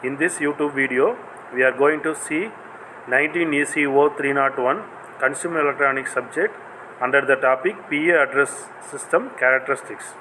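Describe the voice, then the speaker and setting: A man's voice: mid-range voice, normal speed, bright, forceful tone, slight background noise, low-quality recording. A man with a South Indian accent giving a scripted technical lecture in a formal, read-aloud way. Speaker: assistant professor giving a video lecture.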